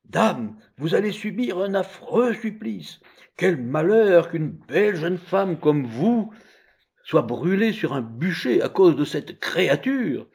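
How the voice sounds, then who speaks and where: A man's voice: a little high, big pitch swings, takes it slowly, gravelly, darkish tone, husky, voice pushed up, full character voice. A man playing fretful attendant women, reproachful. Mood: mock-pitying. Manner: anxious, reproachful. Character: two gossiping attendant women, fearful.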